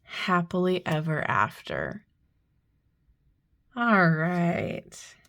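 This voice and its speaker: A woman unboxing and reviewing a tarot deck, making soft, admiring remarks as she turns the cards. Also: lowish voice, big pitch swings, very slow, gravelly. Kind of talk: unboxing commentary.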